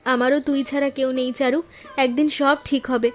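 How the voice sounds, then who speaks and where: Woman voicing a high, brisk, caring elder sister. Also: flat delivery, faint music behind, close to an everyday voice. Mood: resigned, comforting. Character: patient, caring elder sister, poor flower seller. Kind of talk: Bengali cartoon moral-story dialogue.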